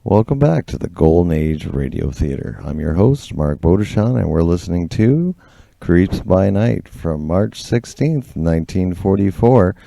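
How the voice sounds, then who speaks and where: Deep, very mellow, gravelly radio host. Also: male voice, animated, unhurried. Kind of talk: relaxed radio presenting.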